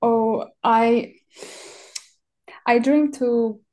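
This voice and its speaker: A young woman from Crimea speaking English, answering interview questions haltingly and pausing often to find words. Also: fairly high pitch, fairly even intonation, mellow. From Crimea, Ukraine. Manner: halting, searching for words with many fillers. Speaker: activist guest. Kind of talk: interview answers in a second language.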